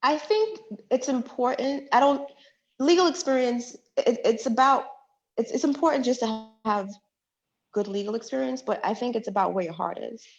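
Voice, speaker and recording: A woman's voice: fairly high pitch, normal speed, a little mellow. A woman from Queens, a public defender running for judge, answering radio interview questions earnestly and building her points through repeated phrases. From Jamaica, Queens, New York. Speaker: judicial candidate and public defender. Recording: dull lo-fi radio sound.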